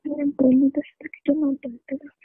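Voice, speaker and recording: A woman's voice: sits high, little pitch movement, fairly quick, no room sound. A young woman giving short, terse replies in a casual private phone conversation. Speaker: caller talking to a romantic partner. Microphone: phone call. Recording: dull, lo-fi phone call recording.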